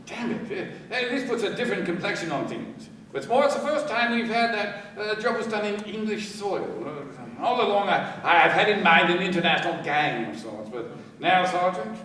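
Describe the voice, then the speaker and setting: Brisk police inspector played by a man, very echoey. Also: sits high, lively intonation, bright, forceful tone. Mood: sceptical, musing. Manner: brisk, questioning, musing aloud. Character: brisk, exasperated, shrewd male police inspector. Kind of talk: radio crime drama acting. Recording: very echoey distant room, faint noise.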